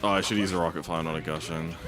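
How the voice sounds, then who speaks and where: A slightly hoarse young man streaming a speedrun, chatting casually and rambling with lots of fillers. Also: deep voice, fairly even intonation, racing. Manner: rambling, laid-back, many fillers. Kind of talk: casual live-stream chat. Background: game music.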